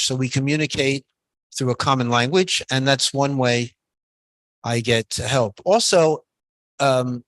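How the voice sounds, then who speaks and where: A man giving a guest lecture, answering audience questions in a halting, soft-spoken way with many pauses and restarts. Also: mid-range voice, no room sound, low-quality recording.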